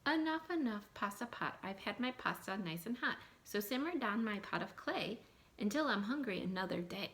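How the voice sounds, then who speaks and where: A woman's voice: medium pitch, animated, normal speed, a bit bright. A woman voicing an eager, hapless young man in a folktale, exclaiming and chanting. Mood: proud, singsong. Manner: exclaiming, chanting magic rhyme.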